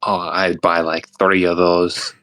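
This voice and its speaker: A man's voice: sits low, level tone, takes it slowly, pushed and bright, no room sound, faint music behind. A man playing a tabletop game, speaking in casual roleplay banter.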